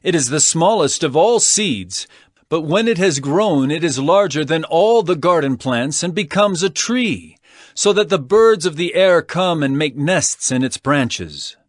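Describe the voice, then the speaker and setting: An actor playing an earnest male teacher of parables in a dramatized scripture reading, with measured, emphatic, declamatory phrasing. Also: on the high side, lively intonation, no room sound, studio quality. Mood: storytelling.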